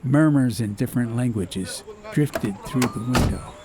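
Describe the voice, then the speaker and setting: A man's voice: medium pitch, a little slow, mellow. A man reading an atmospheric story as an audiobook narrator, in a scripted literary style.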